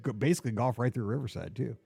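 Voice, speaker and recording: A man's voice: medium pitch, quick pace, creaky, mellow, slightly rough, no echo. A man hosting a podcast, chatting casually in a loose way with lots of fillers and hedges. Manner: loose, filler-heavy, hedging with "kinda". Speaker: podcast host. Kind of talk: casual chat.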